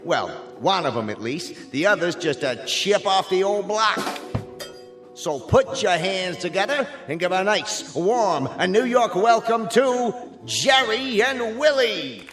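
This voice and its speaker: A corny, leering club emcee, rather high and very animated. His showy radio play patter rings in an echoey room with music behind. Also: measured pace. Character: corny, leering male nightclub comic emcee.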